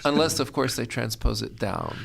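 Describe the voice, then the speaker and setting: A man's voice: mid-range voice, huge pitch swings, moderate pace, creaky. A man hosting an interview as a company director, chatty and fond of telling anecdotes. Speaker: host and company general director.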